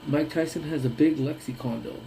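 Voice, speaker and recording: A man's voice: on the high side, steady tone, fairly quick, gravelly, warm tone, slight background noise, poor audio. Congested-sounding man chatting on a live stream. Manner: rambling, laughs often, trails off mid-thought. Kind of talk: casual live chat on lunch break.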